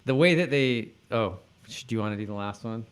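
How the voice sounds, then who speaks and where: A man's voice: lowish voice, expressive, brisk, smooth. A man co-hosting a podcast, chatting casually in a loose, somewhat hesitant conversational way. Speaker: podcast co-host. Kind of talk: casual chat about a TV episode.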